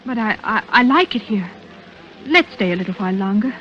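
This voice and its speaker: A woman's voice: mid-range voice, animated, a little fast, slight background noise, lightly acted. An actress playing a determined young woman in a 1940s-style radio drama. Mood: hesitant. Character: determined young woman posing as innocent dance-hall patron. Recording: muffled vintage radio recording.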